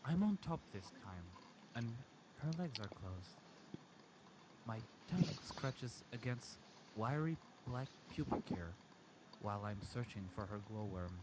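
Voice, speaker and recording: Man reading aloud over clear background noise. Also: fairly low pitch, animated, a little slow. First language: Portuguese. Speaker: reader of a story. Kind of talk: amateur story reading with asides to friends. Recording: clearly noisy amateur recording.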